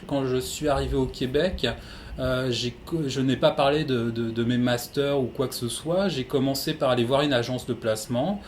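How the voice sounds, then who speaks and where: A man's voice: mid-range voice, moderate pace, slight background noise. A man working in human resources, giving methodical, practical advice to newcomers in an interview.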